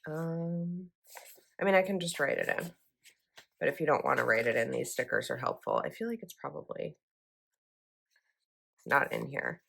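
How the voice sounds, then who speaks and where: A woman's voice: sits low, very animated, normal speed, vocal fry, pauses often, fairly bright tone, slightly rough. A young woman vlogger chatting casually as she decorates a planner, her voice a little froggy this morning, rambling with likes and ums.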